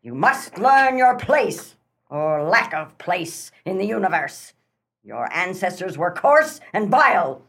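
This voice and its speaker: A woman's voice: on the low side, big pitch swings, takes it slowly, fairly bright tone, exaggerated voice. A woman acting a domineering, self-righteous wife in a comic radio play, denouncing in a haughty, declamatory manner. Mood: scornful tirade.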